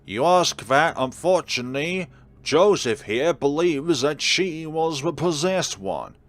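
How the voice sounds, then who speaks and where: A man's voice: on the high side, lively intonation, unhurried. A man reading a visual novel aloud as a let's player, dropping in wry, casual commentary. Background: game music under the voice.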